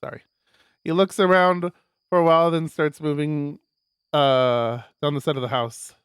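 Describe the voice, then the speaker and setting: A man streaming a visual novel, reading it aloud as expressive narration with casual asides. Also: fairly high pitch, moderate pace, clear, resonant tone, no room sound, clean studio sound.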